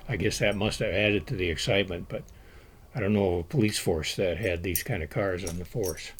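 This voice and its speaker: Low-voiced man narrating game history. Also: breathy edge. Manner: measured storytelling with occasional fillers. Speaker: board game collector presenting.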